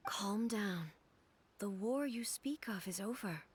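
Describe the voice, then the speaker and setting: A solemn, soft-spoken divine ruler. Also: female voice, mid-range voice, animated, husky. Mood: soothing. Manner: measured, grave, soft-spoken. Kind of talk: video game cutscene dialogue.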